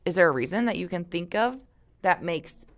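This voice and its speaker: Lower-pitched woman reading aloud. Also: moderate pace. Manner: expressive, asks guiding questions. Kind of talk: audiobook-style read-aloud with casual discussion.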